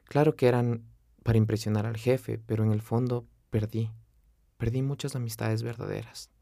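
A man's voice: mid-range voice, steady tone, normal speed, creaky, mellow, no room sound. A young man from Quito with an Ecuadorian accent, telling his own life story in an earnest, confessional way. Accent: Quito Ecuadorian Spanish. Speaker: podcast host. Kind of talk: personal storytelling monologue. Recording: faint music underneath.

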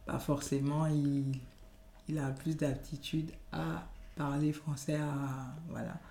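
A woman's voice: sits low, flat delivery, takes it slowly, vocal fry, dark tone. A woman from Benin whose first language is Yoruba, answering interview questions in a thoughtful, hesitant way with many restarts. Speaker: podcast guest. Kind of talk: conversational interview. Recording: echoey room.

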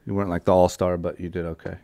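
Eager rambling podcast host, low voice. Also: male voice, level tone, very fast, creaky, mellow, dry recording, clean studio sound. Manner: eager, rambling, many fillers and restarts. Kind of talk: casual interview chat.

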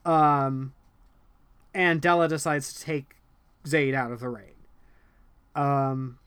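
A man co-hosting a casual podcast about a book, animated and hesitant, full of ums and restarts, reading passages aloud quickly. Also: medium pitch, smooth, no room sound. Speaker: podcast co-host discussing a book. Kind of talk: casual conversational chat.

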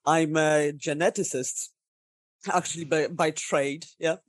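A woman's voice: sits low, steady tone, measured pace, no echo, studio quality. Polish woman, wry and chatty. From Poland. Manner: self-deprecating, chatty, laughs often. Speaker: game designer guest. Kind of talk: casual podcast interview.

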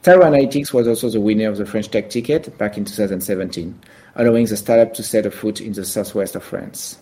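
A man co-hosting a podcast who introduces the guest and puts questions to him in a lively way. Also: lowish voice, fast. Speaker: podcast co-host.

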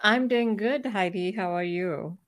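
A woman's voice: medium pitch, unhurried. A woman from India with an Indian accent, chatting politely and appreciatively as an interview guest. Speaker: podcast guest, author. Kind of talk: casual interview chat.

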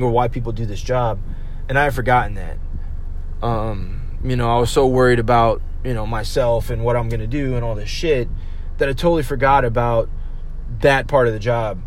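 A man's voice: mid-range voice, fairly even intonation, a little fast, slight background noise. A man in his thirties, a restaurant server, talking casually to his viewers in a rambling, profane way with lots of fillers. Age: 30s. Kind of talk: casual talk to camera.

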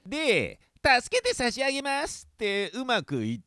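A man acts out a middle-aged male detective in a comic audio drama. His voice is bright, sharp and dry, swinging wildly in pitch as he clowns and whines like an overgrown, lecherous child. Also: huge pitch swings, moderate pace, no room sound, exaggerated voice. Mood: lecherous, gleeful. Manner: whiny, clowning, theatrical outbursts. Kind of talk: comic radio drama acting.

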